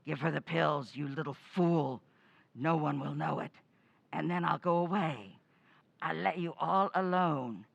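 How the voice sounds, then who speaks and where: A woman's voice: low-pitched, vocal fry, no echo, highs cut off, heavily acted. A woman playing a menacing, bloodthirsty undead old woman who taunts and commands in old-time radio horror style. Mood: commanding, urgent. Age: older. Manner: slow, taunting, commanding, gloating.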